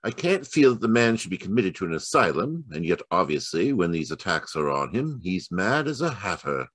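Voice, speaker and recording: Man acting a deep, swooping gentleman detective. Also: sing-song, normal speed, husky, no room sound, heavily acted. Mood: intrigued. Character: musing, keen gentleman detective reading a letter aloud. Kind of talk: 1940s radio mystery acting. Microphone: video call. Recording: live performance over video call.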